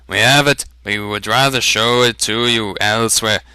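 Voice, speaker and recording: Man playing a guarded, firm uncle, crisp voice. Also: on the low side, steady tone, moderate pace, pushed and bright, no echo. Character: reserved, firm farmer uncle, quiet-spoken man. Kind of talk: fantasy audiobook character reading.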